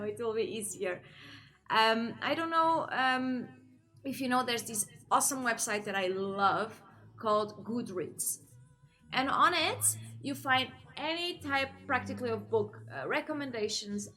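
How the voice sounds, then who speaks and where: A woman's voice: on the high side, sharp tone. A young woman from Portugal chatting casually to camera about her reading, with many fillers and frequent laughs. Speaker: book vlogger.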